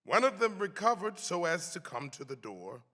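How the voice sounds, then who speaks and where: A man giving a solemn, emphatic dramatic reading of a historical text in a deliberate, theatrical way. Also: high voice, very animated, bright, forceful tone. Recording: echoey hall with long reverb.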